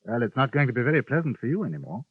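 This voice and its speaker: An unflappable English gentleman in an old radio western, polite and dryly understated. He talks fast with a lively lift in his voice. The recording is dull and lo-fi. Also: mid-range voice, husky, no room sound. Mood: cool. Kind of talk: 1950s radio drama acting.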